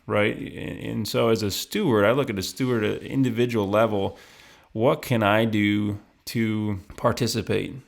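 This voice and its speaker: A man's voice: fairly low pitch, vocal fry. A man hosting a hunting show interview, asking long rambling questions full of fillers. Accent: Michigan. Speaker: podcast host interviewer. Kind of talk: conversational interview.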